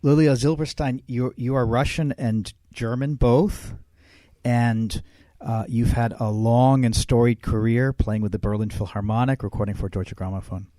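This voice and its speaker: A man hosting a radio interview, asking questions in a measured, polite way. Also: medium pitch, steady tone, mellow, no room sound. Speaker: radio host.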